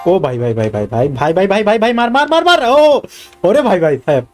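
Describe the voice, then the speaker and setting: A rather high-voiced Indian man streaming a game, with a Hindi speaker's accent. He talks extremely fast and excitably, repeating words in bursts, with animated pitch. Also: soft background music. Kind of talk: casual live gaming commentary.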